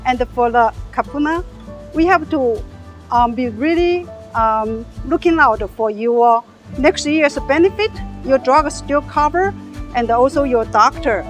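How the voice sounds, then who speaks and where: A woman's voice: high-pitched, lively intonation, soft background music. Earnest Taiwanese-accented woman agent. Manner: earnest, halting English. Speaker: Medicare agent. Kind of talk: interview answers.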